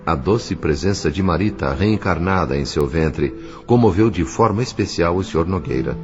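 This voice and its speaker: A Brazilian man with a very low voice narrates an audio novel. His delivery is solemn and measured, and the sound is a little dull, with soft music behind. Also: expressive.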